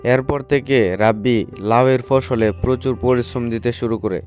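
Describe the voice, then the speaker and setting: Male narrator for a cartoon tale, average pitch, scripted storytelling over a light music bed. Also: normal speed, a little mellow. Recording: faint music behind the voice.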